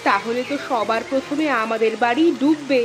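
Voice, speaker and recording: Highish-voiced village housewife, played by a woman. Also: a little fast, music in the background, natural voice. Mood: alarmed. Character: worried, sharp-tongued village housewife. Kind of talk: Bengali moral-tale cartoon dubbing.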